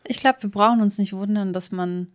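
A woman's voice: medium pitch, fairly even intonation, brisk, no echo, studio quality. A woman chatting casually as a podcast co-host. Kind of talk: casual chat.

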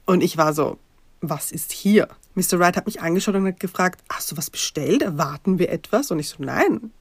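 A woman's voice: lowish voice, lively intonation, moderate pace, husky, dry recording. A woman co-hosting a podcast with a stuffy nose from a cold, chatting casually and telling a story. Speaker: podcast co-host.

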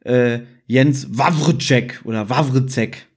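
A man's voice: mid-range voice, steady tone, takes it slowly, husky. A man hosting a casual chat about audio dramas, explaining things with some teasing banter. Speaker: podcast host.

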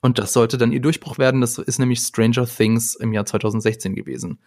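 A man's voice: medium pitch, fairly even intonation, a little fast, darkish tone, no room sound. A man chatting on a podcast, talkative and in charge of the conversation. His voice sits in the middle range, and the sound is dry and close with no room around it. He leans on fillers as he thinks aloud. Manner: talkative, steers the talk, frequent fillers. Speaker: podcast co-host. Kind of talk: casual chat.

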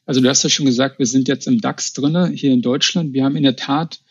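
Guy from berlin area chatting as a guest, gravelly and raspy, kinda dull audio. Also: mid-range voice, steady tone, brisk, dry recording. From Berlin area, Germany. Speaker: interview guest, customer experience manager. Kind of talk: casual conversational interview answers.

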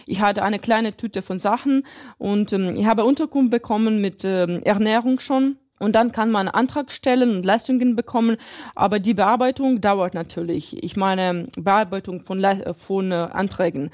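Woman, young adult, interviewee, fillers and pauses, earnest, medium pitch. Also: darkish tone. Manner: hesitant, many fillers, earnest. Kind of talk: casual interview answers.

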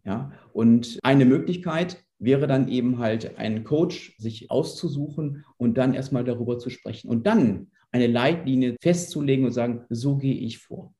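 A man talks as an interview guest. His voice is mid-pitched and mellow, and he explains things conversationally. The recording has a clearly roomy echo. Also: normal speed, hardly any pauses. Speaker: podcast guest, managing director.

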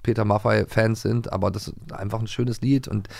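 A man's voice: on the low side, steady tone, brisk, vocal fry, a little mellow, no echo. A man from the former East Germany co-hosting a podcast, chatting casually and playfully with lots of fillers and restarts. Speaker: podcast host. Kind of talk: casual chat.

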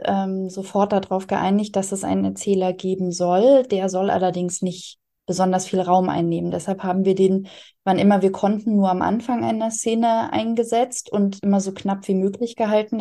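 A woman's voice: medium pitch, dark tone. A woman writer explaining her work in conversational interview answers. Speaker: interviewed audio drama writer.

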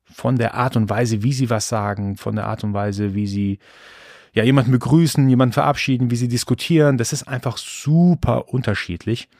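Man, Iran-born, podcast host, rambling casual monologue, medium pitch. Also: moderate pace, darkish tone, dry recording, clean studio sound. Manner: chatty, rambling with restarts and fillers.

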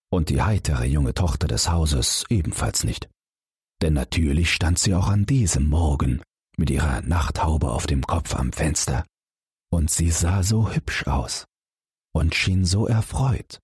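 A man reads a fairy tale in a remarkably deep, breathy voice, soft and husky throughout. He takes it very slowly and calmly, the way one reads to a child falling asleep. The recording is dry and close, with no room sound. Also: no echo. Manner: slow, calm, soothing reading. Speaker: audiobook narrator. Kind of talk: bedtime fairy tale narration.

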